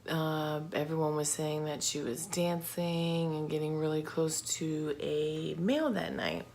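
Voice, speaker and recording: A woman telling a true crime story in a casual, informal, slangy and halting way. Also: low-pitched, fairly even intonation, darkish tone. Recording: clear background noise.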